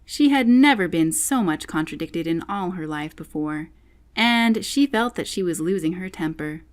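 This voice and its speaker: A woman's voice: lowish voice, lively intonation, normal speed. A woman reading a children's classic aloud as a scripted audiobook narrator.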